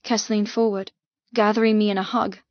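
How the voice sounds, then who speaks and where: A woman reads dramatic first-person fiction as an audiobook narrator. Her pitch is middling and her delivery intense and emotive. The recording is very dry and slightly dulled. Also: flat delivery, fairly quick, no echo, dull audio.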